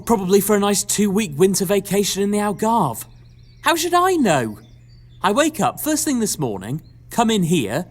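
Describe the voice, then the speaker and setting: A flustered pantomime farm mother played by a woman talks in wordy, theatrical sentences. Her pitch swings widely, full of sarcasm and exasperation. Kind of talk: audio pantomime acting.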